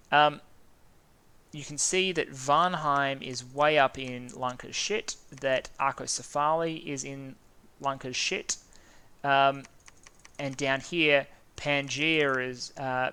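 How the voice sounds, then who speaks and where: A man's voice: mid-range voice, pushed and bright, smooth. A man with an Australian accent casually talking through a strategy game, thinking aloud and stumbling over names. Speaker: gaming video host.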